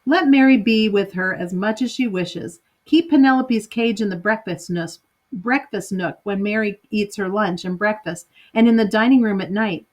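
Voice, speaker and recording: A woman's voice: mid-range voice, moderate pace, close to an everyday voice. Woman reading a kindly reassuring older lady.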